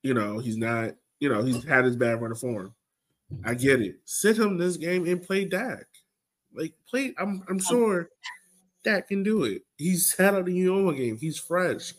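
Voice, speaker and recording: A man's voice: fairly high pitch, animated, moderate pace. A man co-hosting a sports fan podcast, talking casually and informally in an exasperated, searching way. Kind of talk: casual soccer fan discussion.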